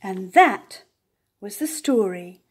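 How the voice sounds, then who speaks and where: An older woman reading a children's picture book aloud, with expressive emphasis and playful animal sounds. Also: medium pitch, slow pace, slightly rough. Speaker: storyteller. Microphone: camera above the book on a table.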